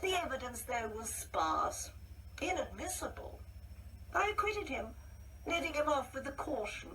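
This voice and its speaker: An actress plays a genteel, wistful older wife in a radio drama. Her voice is bright and unhurried, with theatrical pauses and a coquettish lilt. It sits a little far from the mic. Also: sits high, big pitch swings, exaggerated voice. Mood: confiding, reminiscing. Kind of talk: radio play monologue acting. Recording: distant mic with faint background noise.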